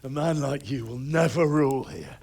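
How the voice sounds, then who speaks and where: An actor playing a bitter, defiant young man held captive, with a gravelly voice. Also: mid-range voice, normal speed, a little mellow, natural voice.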